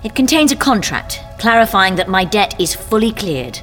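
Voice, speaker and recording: An actress as a composed woman over loud music. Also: medium pitch, expressive, moderate pace, lightly acted. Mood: cool, firm. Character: composed, cool, determined woman striking a bargain. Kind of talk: science-fiction audio drama. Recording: loud music and effects behind.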